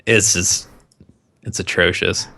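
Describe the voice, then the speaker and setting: A man's voice: sits low, flat delivery, slow pace, gravelly, bright, forceful tone. Sarcastic man bantering on a podcast. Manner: sarcastic, wisecracking. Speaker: podcast co-host. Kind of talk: casual, profane banter about movies.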